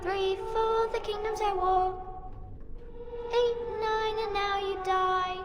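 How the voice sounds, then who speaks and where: Very high, ghostly little girl. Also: flat delivery, takes it slowly. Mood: eerie, plaintive. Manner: singsong, eerie chanting. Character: ghostly little girl chanting eerie rhymes. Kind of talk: video game horror acting. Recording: cavernous reverb, distant, under loud music.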